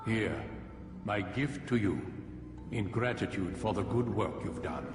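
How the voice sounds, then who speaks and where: A man's voice: fairly low pitch, lively intonation, unhurried, far from the mic, over music. A man playing a solemn master of assassins in a video game, with long echoing reverb. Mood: solemn, instructing.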